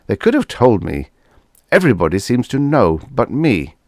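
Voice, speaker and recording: Man acting an anxious, excitable young widower. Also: mid-range voice, normal speed, no room sound, studio quality, voice pushed up. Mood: defensive. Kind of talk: vintage science fiction audiobook character.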